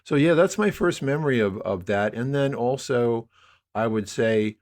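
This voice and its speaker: An older man being interviewed as a podcast guest, telling stories conversationally in a halting, rambling way. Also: mid-range voice, a little mellow. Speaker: podcast guest, media producer.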